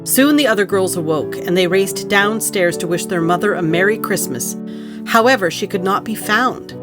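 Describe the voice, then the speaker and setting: Woman as a composed storyteller, mid-pitched. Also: moderate pace, music in the background, natural voice. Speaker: narrator. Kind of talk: scripted story narration.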